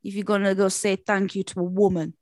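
A woman from Portugal, a native Portuguese speaker, chatting casually in English and telling stories in a chatty, animated way. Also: mid-range voice, a little fast, dry recording, clean studio sound. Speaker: performer who does shows. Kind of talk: casual chat.

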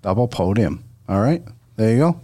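A man, co-hosting a casual sports podcast, jokey and quick to laugh. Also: on the low side. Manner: lively, laughs a lot, jokey. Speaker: podcast co-host. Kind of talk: casual sports betting chat.

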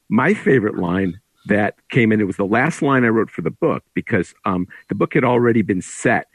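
A man speaking as an author in a conversational interview, explaining things through anecdotes in a husky voice. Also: on the low side, no room sound, low-quality recording. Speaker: author discussing his book.

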